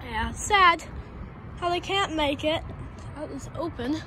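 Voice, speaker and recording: Noisy street recording, handheld phone, high-voiced female vlogger, casual rambling commentary, thinking aloud. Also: expressive, unhurried. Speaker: host of an abandoned retail vlog. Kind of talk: casual walk-around vlog commentary. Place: outdoors on a shopping street sidewalk.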